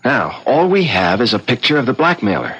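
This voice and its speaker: An actor playing an evasive, flustered young schemer in a 1970s radio drama, stammering and hesitant. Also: male voice, mid-range voice, sing-song, fairly quick, muffled recording, heavy background noise. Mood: scheming. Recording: vintage 1970s radio broadcast.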